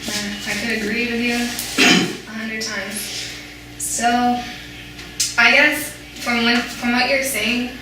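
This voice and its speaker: A woman from Ethiopia interviewing, asking brief, measured questions. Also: on the high side, fairly even intonation, background noise. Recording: echoey room, voice from far off.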